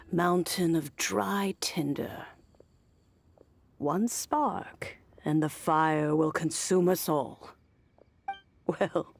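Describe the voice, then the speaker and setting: An actress playing a kindly, nostalgic old woman in a video game, speaking slowly and deliberately with a creaky voice. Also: low voice, exaggerated voice. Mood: grave, foreboding. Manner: slow, deliberate, sighing, gently teasing. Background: soft game music.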